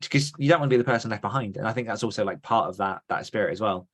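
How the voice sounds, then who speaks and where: A man's voice: lowish voice, racing, fairly bright tone. A man hosting a podcast, explaining things earnestly in a casual, conversational way. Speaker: podcast host.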